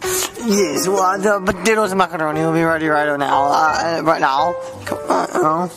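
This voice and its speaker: A man playing an excitable, haughty French waiter with a French accent in an amateur radio comedy sketch. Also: fairly high pitch, moderate pace, over music, close to an everyday voice. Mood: formal, servile.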